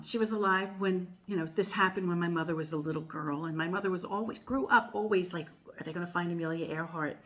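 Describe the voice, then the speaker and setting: A woman's voice: deep voice, fairly bright tone. An older woman from the United States hosting her tarot channel, talking casually to viewers in a rambling way, with lots of fillers and self-corrections. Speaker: tarot reader and channel host. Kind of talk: casual chatty monologue to viewers.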